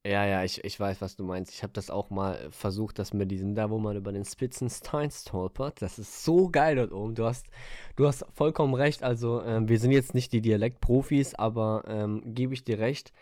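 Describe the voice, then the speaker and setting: A man's voice: fairly low pitch, fairly even intonation, normal speed, a little mellow, no room sound, studio quality. A man co-hosting a podcast in casual chat, rambling and hesitant, often circling back with fillers. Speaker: podcast co-host.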